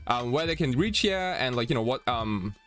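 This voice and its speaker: A man in his late twenties, from Germany, hosts a casual chat at an average pitch. He rambles, backing up and restarting as he searches for the next phrase. Faint music plays behind him. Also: expressive, a bit bright. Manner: rambling, halting, filler-heavy. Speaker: podcast host. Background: faint background music.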